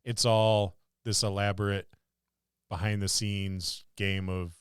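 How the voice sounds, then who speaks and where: A man's voice: lowish voice, level tone, slow, smooth, no room sound, studio quality. A man co-hosting a casual tabletop role-playing podcast, thinking aloud and repeating words for emphasis. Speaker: podcast co-host and experienced game master.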